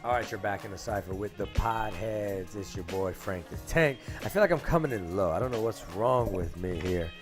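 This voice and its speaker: Animated man hosting a podcast. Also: fairly low pitch, moderate pace, few pauses, over music. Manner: animated, profane, reads stats to cohosts. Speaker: podcast host. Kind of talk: casual chat.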